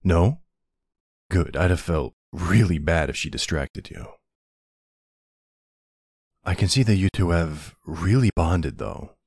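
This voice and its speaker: Man acting a deep gravelly mafia husband. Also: no room sound, studio quality, exaggerated voice. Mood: earnest, warm. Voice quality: gravelly, husky. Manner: soft, unhurried, hesitant pauses. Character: tender mafia boss husband and doting young father. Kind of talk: intimate ASMR roleplay acting.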